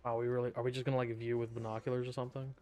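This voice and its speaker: A man's voice: mid-range voice, flat delivery, very fast, darkish tone. A man streaming a game, giving casual live commentary in a wry, offhand way. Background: game music.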